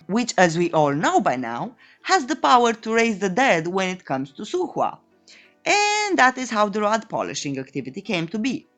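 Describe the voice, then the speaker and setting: A woman's voice: medium pitch, fairly bright tone. A woman narrating a scripted comedic story recap in a playful, tongue-in-cheek, theatrical storytelling manner. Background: background music.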